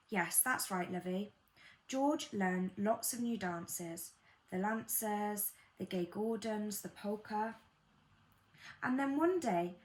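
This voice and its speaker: A woman reading a children's bedtime story aloud in an expressive storytelling manner, with gentle delivery and dramatic pauses. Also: medium pitch, low-quality recording. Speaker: storybook reader.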